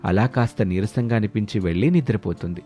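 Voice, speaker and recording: A man's voice: on the low side, brisk, mellow. Male storyteller over background music. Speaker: narrator. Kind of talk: scripted story narration.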